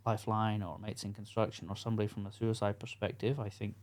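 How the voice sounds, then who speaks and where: A man's voice: on the low side, little pitch movement, quick pace, darkish tone. Scottish man, earnest and frank. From Scotland. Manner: earnest, frank, many fillers and restarts. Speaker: podcast guest, coach to construction workers. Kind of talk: casual interview chat.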